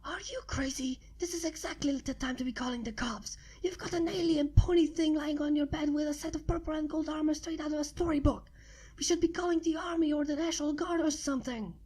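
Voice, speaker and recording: A woman's voice: high voice, fairly even intonation, fairly quick, darkish tone, voice pushed up, lightly acted. A woman voicing a blunt, teasing, skeptical female friend in a story reading. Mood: alarmed. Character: sarcastic, skeptical woman friend, easily alarmed.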